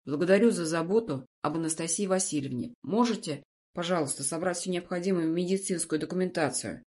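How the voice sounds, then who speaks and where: A woman voicing an imperturbable, commanding man in an audiobook story, speaking in a calm, measured, authoritative way. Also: fairly low pitch, husky. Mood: businesslike. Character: imperturbable, commanding wealthy man in a formal suit.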